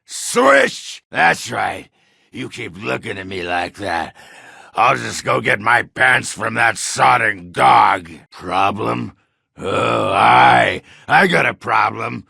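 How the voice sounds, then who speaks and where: A man voicing a gruff, crude, hard-drinking middle-aged dwarf warrior for a video game, with a gravelly, raspy voice and blunt, swearing delivery. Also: fairly low pitch, big pitch swings, slow, bright, forceful tone, no room sound, clean studio sound, heavily acted. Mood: drunken, belligerent. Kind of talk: video game character acting.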